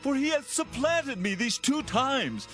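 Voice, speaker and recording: An actor playing a bitter, pleading firstborn son in a dramatized scripture reading, delivered with passion. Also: male voice, very high voice, expressive, measured pace, a bit bright, soft background music, exaggerated voice. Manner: impassioned, pleading.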